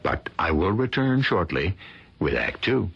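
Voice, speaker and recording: Deep, ominous radio mystery host. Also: male voice, big pitch swings, creaky, heavy background noise, full character voice. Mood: ominous, measured. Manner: measured, ominous, theatrical. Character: grave male host of macabre mystery tales. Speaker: host and narrator. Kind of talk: vintage radio scripted narration. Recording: dull old radio recording with loud music.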